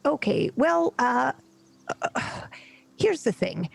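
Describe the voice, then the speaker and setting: A woman playing a character in a tabletop game, talking in an animated way with stammers and restarts. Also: high-pitched, drawn-out words, creaky. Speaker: player.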